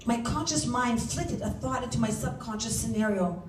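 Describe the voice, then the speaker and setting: A woman reading a first-person story aloud as its author, with an animated, dramatic delivery. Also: a little high, moderate pace. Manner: animated, acts out shouted dialogue. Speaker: author reading from their own novel. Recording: roomy, distant mic, faint background noise.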